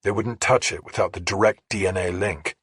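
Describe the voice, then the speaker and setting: A man's voice: low-pitched, a little fast, a bit bright, husky, dry recording, studio quality. A man narrating a first-person crime thriller audiobook from a script.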